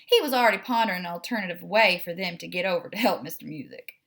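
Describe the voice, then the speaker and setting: A woman reading a children's story aloud as narrator, in an expressive storytelling style. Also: on the low side, fast. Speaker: story reader.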